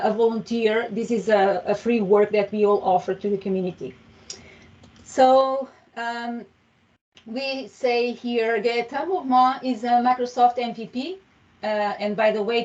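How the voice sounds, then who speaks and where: A woman hosting an online meetup, introducing a guest speaker in a friendly, halting way with many fillers and restarts, speaking through a headset on a video call. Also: fairly high pitch. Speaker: meetup host. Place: home room, video call. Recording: distant, dull video call audio.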